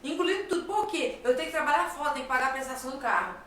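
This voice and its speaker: Brazilian woman, talk show host, high voice, emphatic, repeats herself, echoey room, distant mic. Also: expressive, racing, fairly bright tone. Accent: Brazilian Portuguese. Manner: emphatic, repeats phrases, asks rhetorical questions. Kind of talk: conversational advice talk.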